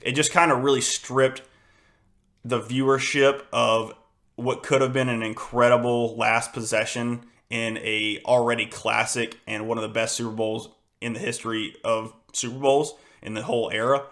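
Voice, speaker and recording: Young guy, hoarse, kinda losing his voice, flat monotone rambling about football, lots of ums. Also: mid-range voice, normal speed. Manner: rambling, frustrated, many fillers and restarts. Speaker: podcast host. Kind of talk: casual solo sports podcast.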